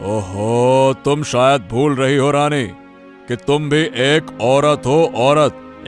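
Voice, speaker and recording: Man playing a slow, measured, authoritative cartoon king. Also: medium pitch, lightly acted. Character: principled, authoritative king, firm with his queen. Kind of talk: Hindi cartoon dialogue acting.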